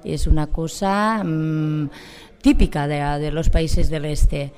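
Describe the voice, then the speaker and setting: Mellow-voiced woman interviewer, hesitant. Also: deep voice, slow pace. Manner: hesitant, many fillers and restarts. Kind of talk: casual interview.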